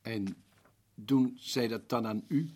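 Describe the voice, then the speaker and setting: A man's voice: medium pitch, takes it slowly, darkish tone. A man playing an earnest, polite visitor who questions a prison governor in a radio play. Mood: probing. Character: male visitor narrating his memory, earnest.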